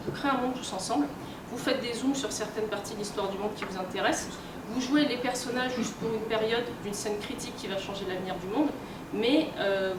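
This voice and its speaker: A woman giving an informal conference lecture in a conversational, hesitant way. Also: medium pitch. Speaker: conference speaker. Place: convention talk room. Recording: echoey room, distant mic, clear noise.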